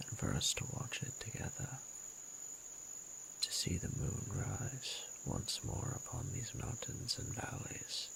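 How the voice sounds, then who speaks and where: Guy doing an ancient dragon lord for romance roleplay, really low n gravelly, breathy, courtly teasing, faint crickets behind. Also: a bit bright, a little hiss or hum, heavily acted, rough recording. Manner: formal, archaic, teasing and courtly. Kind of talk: romantic audio roleplay, intimate slow monologue.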